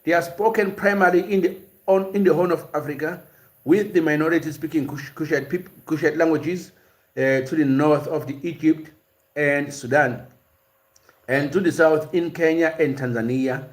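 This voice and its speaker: A man hosting a talky live-stream lesson with a voice pitched a bit higher than most men. He explains things in a halting way, full of "uh"s and words said twice. Every so often he turns to answer viewers. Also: steady tone. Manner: explanatory, hesitant, with frequent "uh" and repeated words. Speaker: host of a language and culture series.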